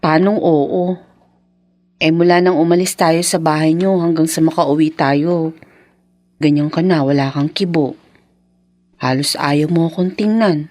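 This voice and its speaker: An actress playing a hurt but loving young Filipino wife in a radio drama, gentle and earnest. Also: female voice, low voice, fairly even intonation, unhurried, pauses often, no echo. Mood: concerned.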